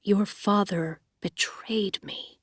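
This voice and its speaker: A woman voicing a remorseless male villain who speaks in a low, soothing, unnervingly calm voice. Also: a little slow, no room sound, studio quality, lightly acted. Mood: cold calm.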